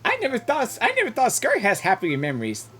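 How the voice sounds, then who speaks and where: A woman giving casual live reaction commentary as a gaming streamer, halting and slangy, often repeating herself. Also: a little high, wildly swooping pitch, a bit bright, slight background noise.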